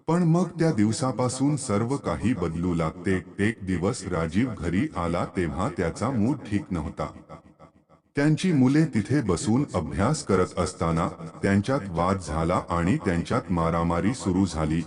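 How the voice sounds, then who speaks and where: A man's voice: sits low, animated, normal speed, darkish tone. A man narrating a scripted story as a storyteller.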